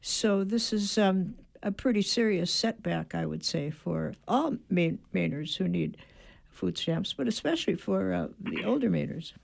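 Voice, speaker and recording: A radio interviewer with a strongly gravelly, creaky voice. The host speaks calmly, setting up questions for a guest. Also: medium pitch, a little slow.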